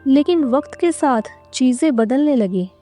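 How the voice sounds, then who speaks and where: Slow, bright-lacking, high-pitched woman narrator. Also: lively intonation, soft background music. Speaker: story narrator. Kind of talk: scripted storybook narration.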